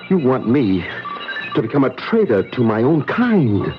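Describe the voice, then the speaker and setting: A muffled 1970s radio drama features an anguished, principled scientist played by a man. His delivery is earnest and emphatic, with halting moments and wide pitch swings. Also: big pitch swings, over music, natural voice. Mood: defiant. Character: anguished, principled male scientist resisting orders.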